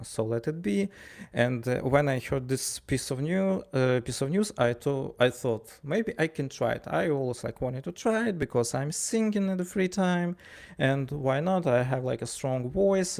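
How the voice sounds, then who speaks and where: A man with a Ukrainian accent who works as a wrestling ring announcer, casually telling anecdotes in a halting way, stumbling over words and acting out dialogue. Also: mid-range voice, vocal fry, a little mellow.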